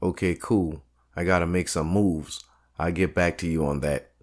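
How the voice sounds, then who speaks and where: A man playing a deep-voiced, guarded streetwise guy. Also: steady tone, normal speed. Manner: laconic, casual, slangy. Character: low-key, guarded streetwise neighborhood man. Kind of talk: crime audio drama acting.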